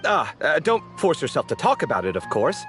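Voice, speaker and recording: A friendly young man from a video game, speaking in a lighter, higher male register. His delivery swings widely and expressively, chatty and teasing with a theatrical, exasperated edge. Faint music plays behind him. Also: brisk. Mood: friendly, helpful. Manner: animated, expressive, apologetic then sarcastic.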